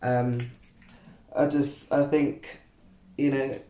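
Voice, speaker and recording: A woman's voice: a bass voice, flat delivery, drawn-out words, dark tone, echoey room, low-quality recording. A woman in her thirties chatting candidly to camera while straightening her hair, rambling with lots of fillers. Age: 30s. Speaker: beauty vlogger.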